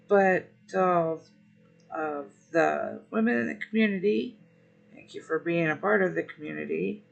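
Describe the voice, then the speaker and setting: A man hosting a laid-back stream, his voice worn hoarse and deeply gravelly with heavy fry. He talks slowly and unhurriedly, dropping friendly hellos to viewers between pauses. Faint music plays softly behind him. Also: dull audio. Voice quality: rough, gravelly. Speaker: livestream host. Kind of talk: relaxed gaming stream chat, greeting viewers.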